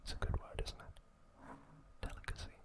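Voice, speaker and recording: The man you would hear on a late-night ASMR channel, whispering slowly through episode trivia to someone tucked up in bed, leaving deliberate gaps between phrases. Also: very high voice, completely flat delivery. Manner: hushed, unhurried, deliberate pauses. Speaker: ASMR host.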